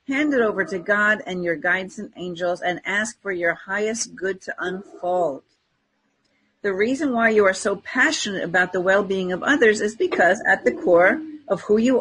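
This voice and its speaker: A woman's voice: mid-range voice, fairly bright tone, breathy edge, poor audio. A woman talking in an encouraging, affirming way, as in spiritual readings and casual talk show chat. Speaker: spiritual guest co-host giving card readings.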